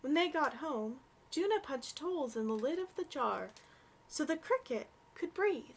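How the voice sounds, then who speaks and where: Young woman, children's story reader: high, lively intonation, gentle, takes her time. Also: normal speed. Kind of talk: read-aloud storybook narration for children.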